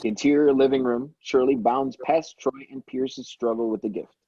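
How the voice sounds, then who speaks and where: A man reads screenplay action lines as a scripted narrator. His tone is relaxed and a little husky. The recording is dry and slightly dull. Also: mid-range voice, normal speed, dry recording. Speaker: stage-direction reader at a table read.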